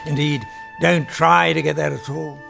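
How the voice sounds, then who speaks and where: A wise old professor, acted by a man with a creaky, slightly high voice. He advises gently and kindly in radio play style, while music plays clearly behind. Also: flat delivery. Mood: kindly advising. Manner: gently advising, measured phrasing. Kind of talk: fantasy audio drama.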